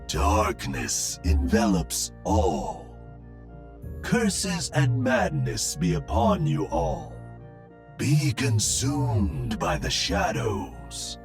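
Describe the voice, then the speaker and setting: An actor voicing a serene robot monk turned sinister cultist of madness, speaking in an ominous, chanting way. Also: male voice, a little high, heavily acted. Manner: slow, ominous, chanting delivery.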